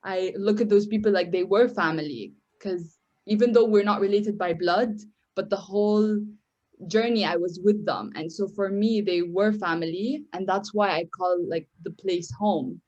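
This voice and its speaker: A woman's voice: medium pitch, moderate pace, darkish tone, dull audio. A young woman from Syria, whose first language is Arabic, speaking as a podcast guest with a hesitant manner, often repeating words. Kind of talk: podcast interview.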